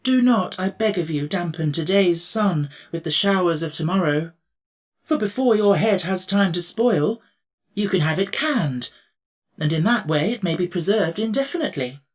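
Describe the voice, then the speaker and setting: A man's voice: high-pitched, animated, moderate pace, pitched above the performer's own voice. A man voicing a lofty, courtly tin emperor. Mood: gracious. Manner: formal and gracious, exclamatory. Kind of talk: audiobook character voice.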